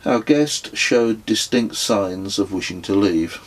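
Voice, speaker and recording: A man narrates his own memoir in a low voice. It is husky and rough at the edges. He takes his time, reading in a measured, descriptive audiobook style. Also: animated, poor audio. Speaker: author reading own book.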